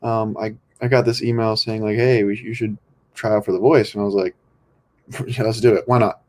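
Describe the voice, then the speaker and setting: A man's voice: lowish voice, flat delivery, normal speed, darkish tone. A young man from California, a podcast guest, talking in a laid-back, rambling way with many ums. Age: young adult. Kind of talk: casual podcast chat.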